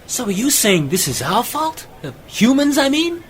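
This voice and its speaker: A man acting as a curious, hesitant companion in a radio play, halting and full of questions. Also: very high voice, sing-song, slight background noise. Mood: questioning. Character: curious, hesitant male companion, puzzled by science.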